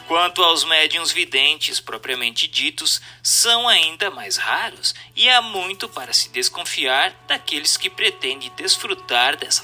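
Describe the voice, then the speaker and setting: A man with a Brazilian accent reads a formal text as a narrator, in a measured, didactic storytelling delivery. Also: a little high, pushed and bright. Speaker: audiobook narrator. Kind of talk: scripted narration of a spiritist text, formal reading. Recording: voice over background music. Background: music.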